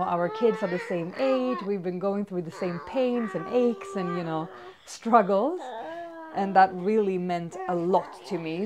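A woman in her thirties with a Swedish accent, a fitness vlogger chatting casually and upbeat to a camera in a bedroom. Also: fairly low pitch. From Sweden. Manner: chatty and upbeat, many fillers. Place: bedroom with toys, indoors. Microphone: far camera.

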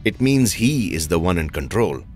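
A man playing an analytical older psychologist in an audio drama, deliberate, composed and clinical. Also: low voice, very animated, darkish tone, soft background music. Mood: calm, analytical.

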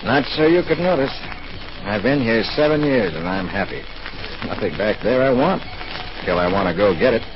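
Casting: actor for easygoing, wisecracking male expatriate, animated mid-range voice; muffled 1940s radio play. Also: mid-range voice, normal speed, noisy background, natural voice. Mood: breezy, chummy. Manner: wisecracking, chummy. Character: breezy, contented American adventurer living in Africa.

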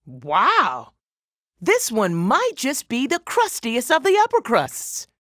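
Brash, bright-voiced brawny blacksmith woman. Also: on the high side, huge pitch swings, slow pace, dry recording, clean studio sound, full character voice. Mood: amused. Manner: punchy, folksy, playful swagger. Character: big brawny gem blacksmith woman, brash, jovial, hearty. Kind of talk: animated video game voice acting.

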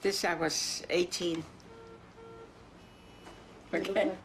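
A woman's voice: lowish voice, fairly even intonation, noisy background. A woman in her eighties from Vienna, chatting and teasing at a small hair salon, recorded by a film camera a few metres away. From Vienna, Austria. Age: 80s. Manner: chatty and teasing, tosses back quick questions. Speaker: salon customer. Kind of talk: casual chat.

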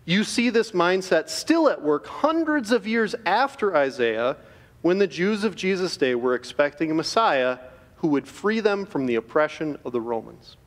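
A man's voice: fairly high pitch, very animated. Middle-aged man speaking in a reverberant auditorium. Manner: earnest, measured, addressing students directly. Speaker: chapel speaker. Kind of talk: school chapel devotion. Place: large school auditorium stage. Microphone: podium microphone.

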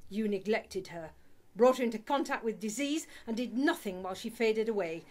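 A woman's voice: medium pitch, lively intonation, normal speed, a little mellow, natural voice. A woman playing a bitter, indignant grieving mother in a radio play, speaking accusingly.

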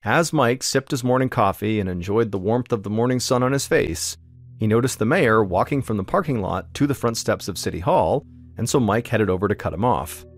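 Guy telling a true mystery story, lowish voice, soft music underneath. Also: fairly quick, no room sound, clean studio sound. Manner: steady, suspenseful storytelling. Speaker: podcast host narrator. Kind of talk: scripted storytelling narration.

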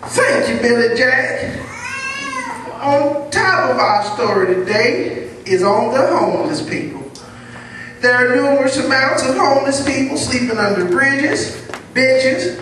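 An actor playing an earnest television news reporter, delivering a formal, measured report in a stage drama. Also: mid-range voice, expressive, fairly bright tone. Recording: echoey hall from far off, faint noise.

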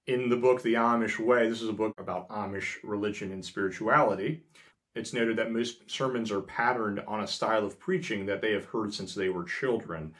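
Relaxed man explaining to camera. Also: on the low side, moderate pace. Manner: conversational, many fillers and restarts, gestures with hands. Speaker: host. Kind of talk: casual explainer talk to camera. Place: small indoor room by a frosted window.

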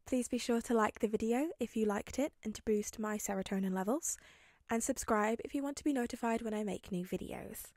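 A woman narrating fan fiction in an expressive storytelling style. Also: a little high, a little fast, no echo, studio quality.

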